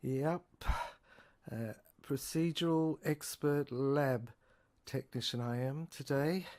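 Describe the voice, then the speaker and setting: A woman playing a rambling, sardonic lab technician who lectures haltingly in an audio drama, repeating and correcting herself and asking rhetorical questions. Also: extremely low pitch, warm tone. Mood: brisk, dismissive. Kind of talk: improvised-sounding lecture monologue in an audio drama.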